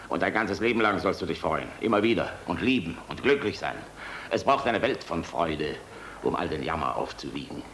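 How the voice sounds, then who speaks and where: A world-weary military flier in a German radio drama, with a low voice full of gravel. He speaks with wry intimacy and tenderness, like someone hunted. There is some hiss on the old recording. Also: lively intonation, moderate pace, a bit bright. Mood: tender. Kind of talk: German radio play acting.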